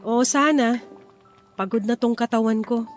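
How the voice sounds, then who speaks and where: A woman's voice: a little high, steady tone, normal speed, soft background music, natural voice. An actress playing a grieving, defensive mother in a Filipino radio drama, emotional and insistent, with a Filipino accent. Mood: subdued, weary. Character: grieving, defensive young mother and wounded wife.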